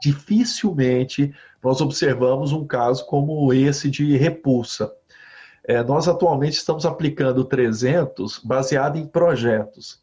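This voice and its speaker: Brazilian professor telling classroom stories. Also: male voice, mid-range voice, unhurried. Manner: animated storytelling with frequent "né?" tags. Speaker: guest professor. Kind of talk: conversational interview answers. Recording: slightly dull lo-fi audio.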